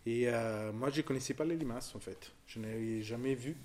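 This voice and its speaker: A man's voice: mid-range voice, moderate pace, a little mellow, a little hiss or hum. Hesitant Italian-accented man. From southern Italy. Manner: hesitant, searching for words, many restarts. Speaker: visitor discussing an exhibition. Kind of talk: casual conversation.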